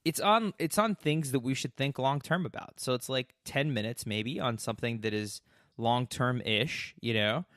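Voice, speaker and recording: A man's voice: mid-range voice, normal speed, fairly bright tone, no echo, studio quality. A man co-hosting a podcast in casual chat, rambling with lots of fillers. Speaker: podcast co-host.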